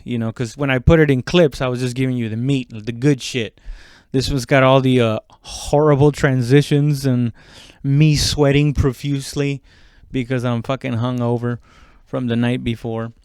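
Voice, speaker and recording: Clear-voiced man rambling casually. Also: medium pitch, a little mellow, no room sound, clean studio sound. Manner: informal, halting, heavy swearing. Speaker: stand-up comedian hosting own podcast. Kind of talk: casual rambling solo chat.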